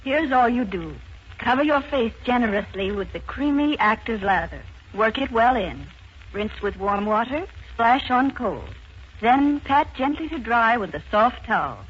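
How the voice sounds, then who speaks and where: A woman's voice: on the high side, expressive, unhurried, slight background noise. A woman delivering a scripted 1940s radio commercial as a spokeswoman. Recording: dull 1940s radio broadcast recording.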